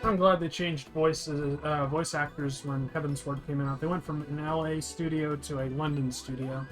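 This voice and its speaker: A man's voice: fairly high pitch, fairly even intonation, normal speed, low-quality recording. A man giving a casual, blunt, sweary play-by-play description of what is on screen in a video game. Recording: game music behind the voice. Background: video game music.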